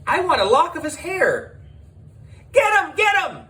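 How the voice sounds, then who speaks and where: A man voicing excited, gushing young female fans in a children's audiobook. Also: very high voice, measured pace, pitched above the performer's own voice, full character voice. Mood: frenzied, adoring. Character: shrieking young female fans mobbing a boy celebrity.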